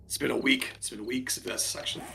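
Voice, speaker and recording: Man, streamer co-host, bright cutting voice, cold-worn, rambly casual delivery. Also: mid-range voice, fairly even intonation, fast talker, creaky, faint music behind. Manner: rambling, restarts and chatty asides. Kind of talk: casual gaming chat.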